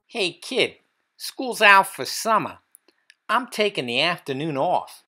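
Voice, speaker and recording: A woman's voice: lowish voice, big pitch swings, slow, pushed and bright, breathy edge, soft background music, exaggerated voice. A woman voicing a laid-back male school janitor with a casual drawl in a storybook read-aloud. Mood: lazy, carefree.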